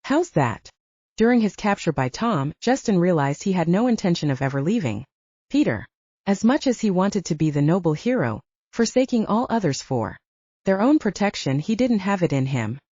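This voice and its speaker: A woman's voice: on the low side, very animated, normal speed, mellow. A woman reading a scripted fiction audiobook as its narrator. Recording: dull, roomy, echoing audio.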